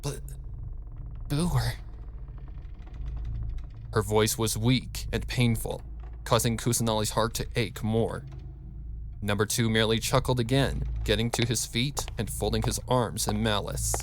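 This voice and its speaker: A man's voice: on the low side, steady tone, moderate pace. A man giving a dramatic scripted narration of a story, as a fan fiction reader.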